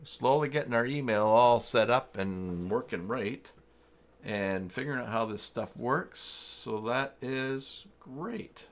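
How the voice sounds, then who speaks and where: A man's voice: mid-range voice, animated, takes it slowly. A man hosting a casual livestream, thinking aloud in a rambling, muttering way. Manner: rambling, muttering through thoughts. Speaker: livestream host.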